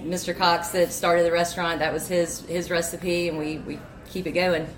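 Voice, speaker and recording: A woman's voice: on the low side, little pitch movement, moderate pace, a little hiss or hum. A woman answering casually as a restaurant owner being interviewed. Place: inside a restaurant. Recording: echoey busy room with chatter.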